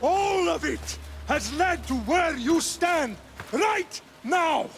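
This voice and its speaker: A man's voice: extremely high pitch, expressive, slow pace, gravelly, a bit bright, a little hiss or hum, exaggerated voice. An actor playing a fierce Spartan warrior king in a game cinematic, declamatory and commanding as he rallies his army. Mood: stern, resolute. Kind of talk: dramatic game cinematic battle speech. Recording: music behind the voice.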